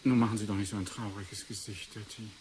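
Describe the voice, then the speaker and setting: A man's voice: on the low side, flat delivery, fast, a little mellow, a little hiss or hum, close to an everyday voice. An actor playing an eager, insistent male investigator who muses and explains in a radio play. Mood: musing, insistent. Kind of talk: 1980s German radio play acting.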